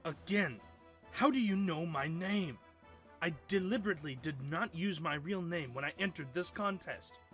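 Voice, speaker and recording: A man's voice: a little high, normal speed, faint music behind, lightly acted. A man playing a hot-headed young male fighter who talks brashly and impatiently, in a fan radio drama. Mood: irritated. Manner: impatient, brash, shouts battle cries.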